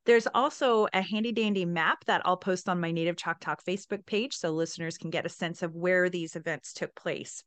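Low-pitched woman hosting a podcast. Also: fairly quick, hardly any pauses, no echo. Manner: chatty, folksy, addresses listeners directly. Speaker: podcast host. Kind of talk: conversational podcast introduction to story time.

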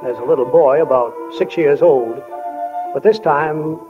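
An actor playing a folksy, reflective small-town storyteller in a 1940s radio play, musing and homespun. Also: male voice, on the high side, music in the background, natural voice. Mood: wistful, musing. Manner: homespun, musing, unhurried, addresses listeners as friends. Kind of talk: 1940s radio acting, narration. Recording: tinny old 1940 radio broadcast.

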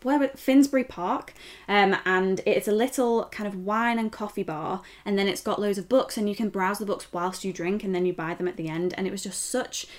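A woman's voice: mid-range voice, a little fast. A young British woman co-hosting a podcast in casual, effusive, enthusiastic chat. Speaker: podcast co-host.

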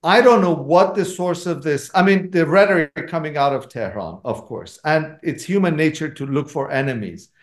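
A man's voice: fairly high pitch, expressive, moderate pace, hardly any pauses, reverberant. A man with an Iranian accent, an author answering audience questions at a book talk, speaking emphatically with frequent fillers and restarts.

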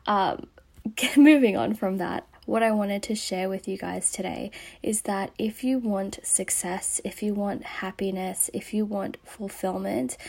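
A hoarse woman losing her voice, hosting a podcast with an earnest, encouraging motivational monologue that slips into a breathy whisper. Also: medium pitch, darkish tone. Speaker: podcast host.

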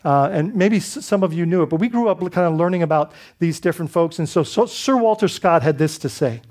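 A high-pitched man from the Bahamas delivers a sermon as pastor. He preaches in a loose, conversational way, restarting phrases and checking in with the congregation through rhetorical questions. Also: lively intonation, a little fast, a little mellow.

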